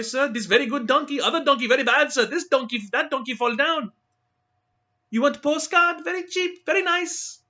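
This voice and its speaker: A man voicing a crowd of insistent male street hawkers who pitch their wares in broken English. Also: sharp tone, lo-fi sound, heavily acted.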